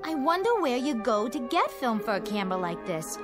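An actress playing a sweet, excitable, teasing crook's partner in a radio drama, gushing and chirpy. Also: female voice, on the high side, brisk. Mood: delighted. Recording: radio drama with music underneath.

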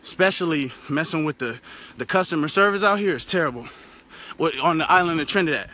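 A man's voice: on the high side, expressive, fairly quick, pushed and bright. Young American man vlogging from a bike. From United States. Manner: loose, chatty, swears casually. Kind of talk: casual vlog while cycling. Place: outdoors on a rural road. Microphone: phone held at arm's length. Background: passing cars.

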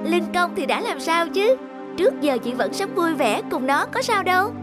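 A woman's voice: extremely high pitch, very animated, moderate pace. A woman voicing a kind young goldfish in a cartoon, reassuring and upbeat. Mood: comforting. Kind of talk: cartoon voice acting. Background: music.

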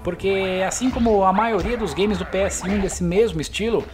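A man's voice: high voice, normal speed. A man with a Brazilian accent giving casual commentary as the host of a gameplay video. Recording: game music behind the voice. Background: video game music.

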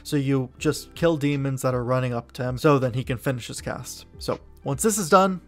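Male game commentator, mid pitch, conversational lore rundown over background music. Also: moderate pace. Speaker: video game lore narrator.

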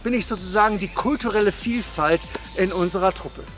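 High-voiced man from northern Germany. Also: fairly quick, fairly bright tone. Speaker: video crew member. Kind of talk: self-introduction and short answers to camera. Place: outdoors in a park. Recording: music in the background.

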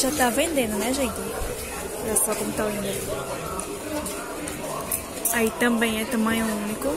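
Chatty woman touring a noisy market. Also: on the high side. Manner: chatty, upbeat, points things out to viewers. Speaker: shopping vlogger. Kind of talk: walking market price tour. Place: busy indoor clothing market. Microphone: handheld phone camera. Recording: loud market crowd and music. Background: loud music and crowd chatter.

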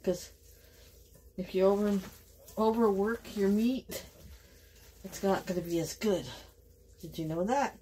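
A middle-aged woman with a Canadian accent, chatting to viewers in a relaxed, rambling way while cooking in her home kitchen. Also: mid-range voice, slow pace, pauses often, a little hiss or hum, low-quality recording. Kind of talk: chatty kitchen vlog narration. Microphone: camera on counter.

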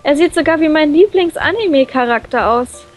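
High-pitched woman reading aloud, playful. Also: lively intonation, brisk, soft background music. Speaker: reader of a visual novel. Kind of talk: expressive let's play read-aloud.

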